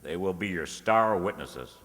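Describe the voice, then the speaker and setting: A man's voice: low voice, animated, unhurried, a bit bright. An actor playing a confident, courteous male newspaper reporter in a radio serial. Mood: assured. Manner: polite, assured. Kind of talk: old-time radio serial acting. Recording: echoey hall.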